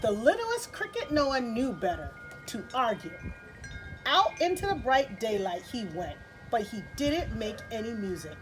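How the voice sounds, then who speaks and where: A woman's voice: a little high, big pitch swings, measured pace, faint music behind, low-quality recording. A woman hosting story time for children, reading a picture book expressively with chatty asides. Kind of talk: picture-book read-aloud with casual asides.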